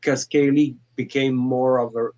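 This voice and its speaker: A man in his forties calling in to a talk show, speaking in a halting way with many restarts and fillers. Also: medium pitch, level tone, darkish tone, highs cut off. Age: 40s. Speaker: caller. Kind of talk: casual call-in chat.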